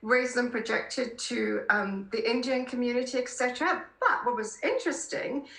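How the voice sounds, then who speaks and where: A South African woman in her fifties with a high, very bright, forceful voice. She answers interview questions conversationally and with emphatic warmth of feeling. The sound is roomy and echoing. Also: moderate pace, creaky. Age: 50s. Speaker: podcast guest, political columnist.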